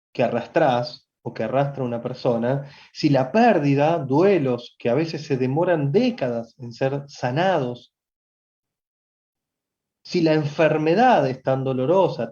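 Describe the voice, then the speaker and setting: A man's voice: on the high side, warm tone, lo-fi sound. A man in his forties with a Rioplatense Spanish accent, teaching from the Gospel in a livestreamed sermon, speaking conversationally and addressing listeners directly. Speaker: preacher.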